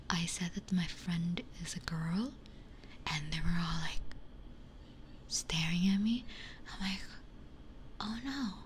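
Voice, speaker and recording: A woman's voice: on the low side, darkish tone, a little hiss or hum. A woman streamer chatting casually in an ASMR style, blunt and giggly, close to a binaural microphone. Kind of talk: whispered ASMR casual chat.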